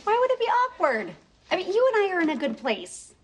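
An actress in a sitcom, delivering quick, teasing quips. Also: female voice, very high-pitched, wildly swooping pitch, exaggerated voice. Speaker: sitcom mother character. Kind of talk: sitcom acting. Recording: sitcom audio with studio laughter.

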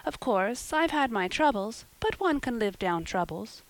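A woman's voice: a little high, expressive, a little slow, no echo, pitched above the performer's own voice. A woman voicing an imaginative, earnest young storybook girl who gushes and pleads in wordy, romantic speeches. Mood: earnest, gushing.

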